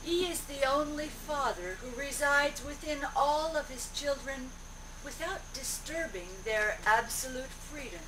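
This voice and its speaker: A woman with a fairly high voice delivers a spiritual discourse at a slow pace. She speaks in deliberate, declarative short phrases. The amateur recording is noisy and picks her up from a distance. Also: animated. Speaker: speaker relaying a guru's teaching aloud.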